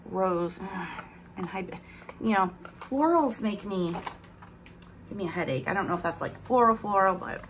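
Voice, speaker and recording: A woman's voice: mid-range voice, expressive, normal speed, pauses often. Woman vlogger, thirties, casual rambling talk, slight lisp, bedroom setting, light hiss. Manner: rambling, talks while handling products. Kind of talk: casual chatty vlog, unboxing. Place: bedroom at home, indoors.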